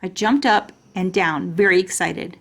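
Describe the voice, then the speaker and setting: A woman storyteller reading a children's book aloud in expressive narration. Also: fairly low pitch, unhurried, creaky.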